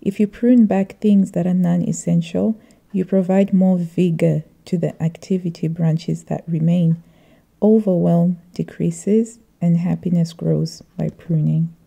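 A middle-aged woman narrating a reflective vlog voice-over in a measured, earnest way. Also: fairly low pitch, steady tone, vocal fry, dark tone, slightly rough. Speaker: vlogger.

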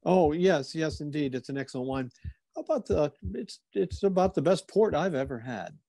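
A man's voice: a little high, expressive, moderate pace, creaky, warm tone, no room sound. A man playing a courteous, inquisitive police officer who muses and probes politely, in old-time radio theater style. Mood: curious. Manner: polite, musing, probing.

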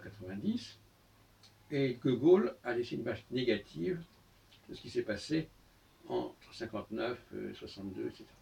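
An older man talks at length to a camera set back across a room full of books, so his voice sounds somewhat distant. His pitch sits in the middle range. He explains his points slowly and patiently, stopping often to restart a phrase. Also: creaky. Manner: halting, explanatory, many pauses and restarts. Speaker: astrologer giving a talk. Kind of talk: solo lecture to camera. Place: book-lined room indoors. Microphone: far camera on a tripod.

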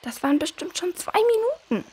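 A woman's voice: high voice, animated, fast. A woman playing an anxious, easily startled young girl in a children's audio drama, with worried questioning. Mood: impatient, startled.

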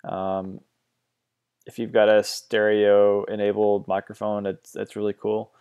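A man with a fairly low voice explains a music-software routing setup in a casual, halting way. He stops and restarts often and fills gaps with ums. It sounds like a mono mic in a home recording setup. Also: monotone, clean tone. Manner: hesitant, many ums and restarts. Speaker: tutorial host.

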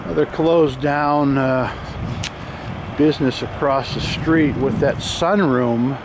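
A man of medium pitch narrates casually as he walks around outdoors with a handheld camera. His commentary is musing and observational, made up on the spot. Clear ambient noise sits behind his voice. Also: slow. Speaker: vlogger filming an exploration of an abandoned motel. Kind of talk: casual off-the-cuff walking narration. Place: outdoors, walking around a derelict motel lot. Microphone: handheld camera microphone, operator behind camera.

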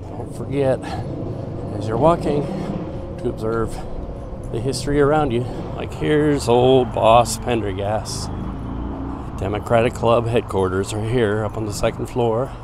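A man casually narrating as he walks through city streets, pointing out sights in a relaxed, chatty way. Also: mid-range voice, a little slow. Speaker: vlogger on a city walk. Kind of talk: casual walking tour commentary. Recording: outdoor street noise on camera mic. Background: traffic and city noise.

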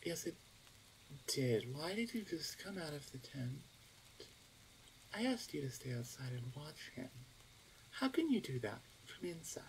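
A woman's voice: sits low, very animated, measured pace, long pauses, a little hiss or hum, heavily acted, poor audio. A woman playing a stern, suspicious younger orc huntress in an ASMR roleplay, speaking in a curt, exasperated manner. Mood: stern, impatient. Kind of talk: ASMR roleplay audio drama.

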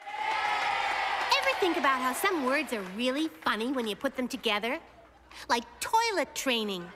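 Picture a chirpy, quick-witted cartoon girl delivering stand-up. Her voice is high and animated, puzzling playfully out loud, with clear noise behind it. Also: exaggerated voice. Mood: playful joking. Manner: playful, puzzling aloud with comic timing.

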